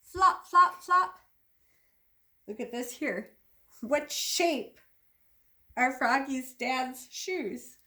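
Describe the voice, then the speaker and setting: Very high-pitched woman teacher reading a storybook. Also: drawn-out words, pauses often. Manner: expressive, emphatic sound words, simple asides to children. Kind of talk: animated children's storybook read-aloud.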